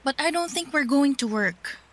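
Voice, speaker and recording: A woman's voice: high voice, animated, measured pace. An actress playing a young woman who hesitantly and apologetically ends a romance in a radio drama. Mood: apologetic. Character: young woman gently ending a fling.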